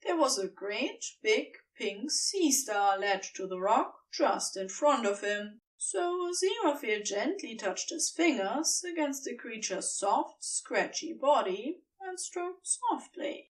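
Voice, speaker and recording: A woman's voice: fairly high pitch, very animated, takes it slowly, few pauses, distant mic, rough recording. A woman reading scripted story narration as the narrator of an audio fiction piece.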